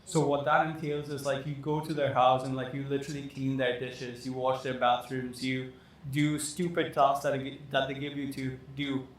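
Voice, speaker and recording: A man's voice: medium pitch, flat delivery, moderate pace. Young man from Dubai, chatty guest who rambles with fillers; very echoey room, faint hiss. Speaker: fashion designer guest. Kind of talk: casual interview talk.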